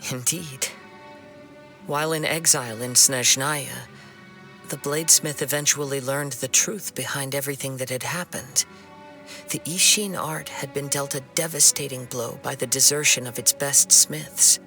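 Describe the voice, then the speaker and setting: Young male swordsman for a game: bright, slightly gravelly, solemn, measured, compassionate. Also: medium pitch, faint music behind. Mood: reflective narrating. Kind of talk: video game voice acting.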